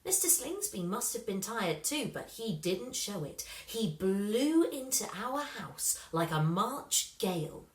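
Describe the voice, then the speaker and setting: Animated woman reading a children's story. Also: mid-range voice, slow pace, hardly any pauses, reverberant. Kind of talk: expressive scripted story narration.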